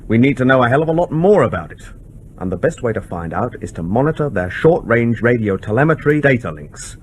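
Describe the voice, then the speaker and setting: An actor playing a blunt, argumentative civilian specialist in a radio drama, with an insistent manner. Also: male voice, mid-range voice, very animated, a little fast, slight background noise, natural voice. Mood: urgent, insistent. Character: combative, blunt civilian surveillance specialist aboard a submarine.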